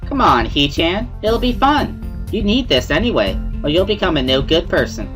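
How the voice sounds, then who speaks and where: A man voicing a bubbly, loud, pushy teenage schoolgirl in a teasing, sing-song manner for a visual novel reading. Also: high-pitched, sing-song, normal speed, soft background music, voice pushed up. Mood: coaxing.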